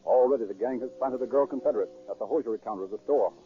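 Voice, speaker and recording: A man's voice: medium pitch, very fast, a little hiss or hum. A man narrating a true crime radio drama in a dramatic 1930s broadcast style. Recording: dull, muffled 1930s radio recording.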